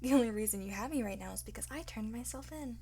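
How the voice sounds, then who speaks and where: A woman playing a cocky, flirtatious art thief in an ASMR roleplay, teasing and smug, often slipping into breathy whispers. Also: a little high, fast, dark tone, slight background noise, lightly acted. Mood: smug, taunting. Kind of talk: ASMR roleplay, one-sided acted dialogue.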